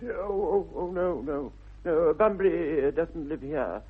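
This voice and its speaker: An actor playing a young upper-class English gentleman in a 1960s radio comedy, stammering and evasive. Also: a little high, lively intonation, a little slow, husky. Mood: flustered. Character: flustered, flippant young gentleman, a nephew. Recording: tinny, band-limited vintage radio recording.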